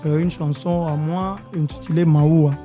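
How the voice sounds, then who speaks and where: A man's voice: on the high side, level tone, moderate pace, mellow, faint music behind. A man from Congo, a musician guest in a radio studio interview, mixing in Swahili and hesitating with many fillers.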